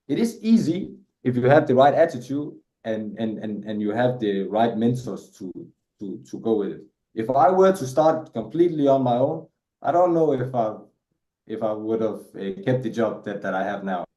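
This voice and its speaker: Danish guy, english isn't his first language, mellow voice, stumbles and repeats himself, roomy echo. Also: mid-range voice, moderate pace. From Denmark. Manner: hesitant, many repeated words and restarts. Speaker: guest. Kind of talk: casual interview conversation.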